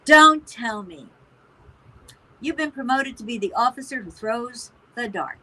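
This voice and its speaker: A woman's voice: on the high side, animated, measured pace, pushed and bright, full character voice, low-quality recording. A middle-aged woman playing an emotional, wryly opinionated mother of a grown son in a naturalistic one-act play. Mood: wry, wounded. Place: virtual play performed over video call.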